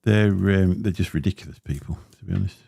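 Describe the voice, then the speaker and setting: A very low, gravelly man chats into a close studio mic in a small home room. His tone is dark and mellow, and he rambles along with plenty of ums and grumbling asides. The sound is dry and close. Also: fairly quick, dry recording. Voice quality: deep voice. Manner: rambling and chatty with many fillers, grumbling asides. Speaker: livestream host. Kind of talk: casual livestream chat while unboxing gear. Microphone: dynamic studio mic close up.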